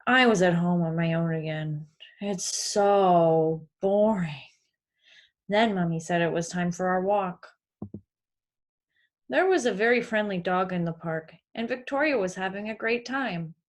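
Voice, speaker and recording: A woman's voice: on the low side, measured pace, natural voice. A woman voicing a lonely, polite young boy in a storybook read-aloud, earnest and wistful. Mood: bored, wistful.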